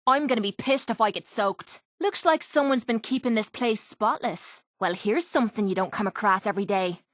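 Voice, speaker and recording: An actress playing a tough, sarcastic young pit fighter in a video game, with an Irish accent and a blunt, salty, wry way of talking. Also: female voice, mid-range voice, normal speed, a bit bright, no echo, clean studio sound, lightly acted. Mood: wry, guarded. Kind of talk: video game character voice lines.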